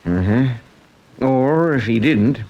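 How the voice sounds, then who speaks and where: Folksy shrewd country police chief, old radio show, voice swings up and down a lot. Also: male voice, mid-range voice, lively intonation, extremely slow, highs cut off. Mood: matter-of-fact, guarded. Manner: folksy, drawling country phrasing. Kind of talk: 1940s radio drama acting.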